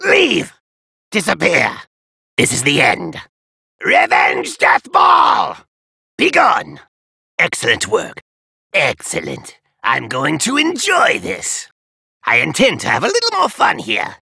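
A man voicing an arrogant, sadistic alien conqueror in an anime fighting game, raspy, grandiose and menacing, taunting and often shouting. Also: on the high side, wildly swooping pitch, slow pace, pauses often, no room sound, clean studio sound, exaggerated voice. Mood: furious, commanding, then smug. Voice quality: raspy, gravelly. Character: arrogant, sadistic alien parasite conqueror, male, gloating villain. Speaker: video game villain. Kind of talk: theatrical anime game battle lines.

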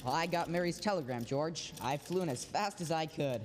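Affectionate younger brother, played by a young man, lightish high pitch, stage radio drama. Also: fairly quick, slight background noise, close to an everyday voice. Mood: proud. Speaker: student actor. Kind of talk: radio play acting.

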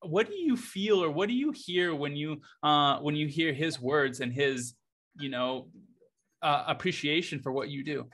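A man in his thirties hosts a video-call interview from a home office. His voice sits a little high. He asks his questions gently and appreciatively, with pauses and small fillers as he finds his words. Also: lively intonation, slight background noise. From United States. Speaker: host.

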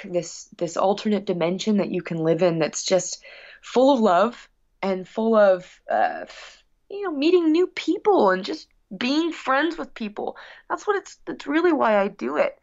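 A woman's voice: medium pitch, a little mellow. A woman speaking earnestly and reflectively as a musician being interviewed, in casual conversation. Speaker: guest musician. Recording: dull, lo-fi sound.

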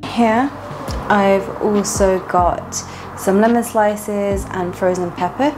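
A woman's voice: mid-range voice, steady tone, slow pace. A woman, chatting casually as she talks viewers through a home cooking recipe step by step. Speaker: cooking vlogger. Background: background music.

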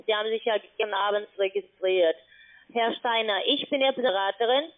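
A woman phone scammer posing as an advisor, speaking halting German and repeating the customer's name. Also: medium pitch. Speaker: scam call-centre advisor. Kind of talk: phone sales pitch. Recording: tinny phone line.

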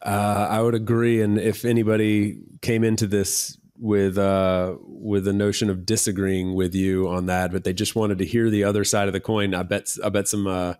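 A man's voice: lowish voice, little pitch movement, moderate pace, few pauses, a little mellow, clear, resonant tone, no room sound. A man hosting a podcast, closing an interview in a hesitant, friendly way with many fillers. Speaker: podcast host. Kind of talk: casual interview wrap-up.

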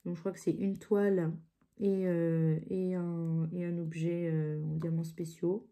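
A woman's voice: lowish voice, level tone, measured pace, dark tone. A woman with a blocked nose from a cold, chatting casually and hesitantly at her craft table, full of fillers and restarts. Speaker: craft channel host. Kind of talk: casual chat while crafting. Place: home craft table, indoors.

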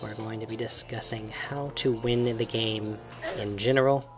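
A man, sick and croaky, casually giving a channel update as a gaming host. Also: fairly low pitch, fairly even intonation, moderate pace, over music. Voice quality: creaky. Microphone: desktop microphone.

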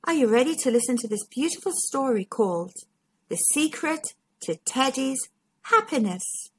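A woman storytime host with a husky voice, reading a children's story expressively to young listeners. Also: sits high, animated, moderate pace. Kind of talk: storybook read-aloud narration.

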